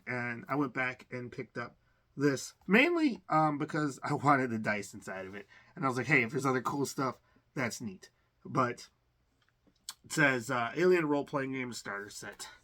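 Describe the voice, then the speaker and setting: A man's voice: mid-range voice, pushed and bright. A man casually talking through a game unboxing, rambling with lots of fillers and restarts. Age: adult. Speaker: collector unboxing games.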